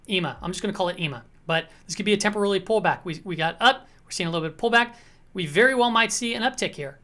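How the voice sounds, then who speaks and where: A woman hosting a casual market commentary, slightly hoarse, talking through charts in a conversational way with frequent check-ins to the viewer. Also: medium pitch, a bit bright.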